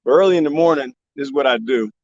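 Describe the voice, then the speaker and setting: A man live streaming from the desert, joking and rambling in a loose, animated way. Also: mid-range voice, quick pace, no echo, dull audio. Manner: animated, joking, imitates bird chirps. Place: outdoors in the desert.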